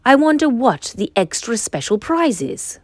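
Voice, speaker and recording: A woman voicing an excitable, determined turkey who gobbles and grumbles in a children's storybook. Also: a little high, measured pace, dry recording, clean studio sound, voice pushed up. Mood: excited. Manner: exclaims in gobbles.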